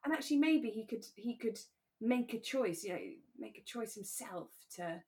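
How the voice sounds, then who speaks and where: A woman co-hosting a podcast, chatting in a relaxed, conversational way about a radio drama. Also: on the high side, moderate pace. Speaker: podcast co-host.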